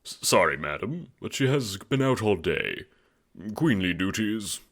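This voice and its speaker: A man's voice: on the low side, big pitch swings, unhurried, fairly bright tone, full character voice. A man voicing a polite, apologetic male alien palace guard, formal and deferential, in an audio drama. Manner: formal, deferential, eager to please. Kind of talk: audio drama character acting.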